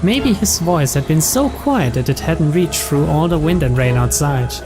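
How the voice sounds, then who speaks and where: Man, slightly high voice, scripted visual novel narration, reading aloud, clear background music. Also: lively intonation, normal speed. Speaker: let's-play narrator reading game text aloud. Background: game music.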